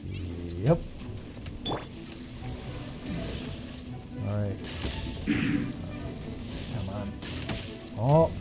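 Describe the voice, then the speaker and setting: A man's voice: on the low side, wildly swooping pitch, drawn-out words, vocal fry, loud music behind the voice. A man playing a video game and commenting casually, swearing and muttering asides to himself.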